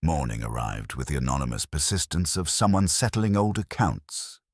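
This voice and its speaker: A man reading a scripted mystery story as a narrator, in a measured, literary manner. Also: very deep voice, very animated, slightly rough, no echo, studio quality. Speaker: audiobook narrator.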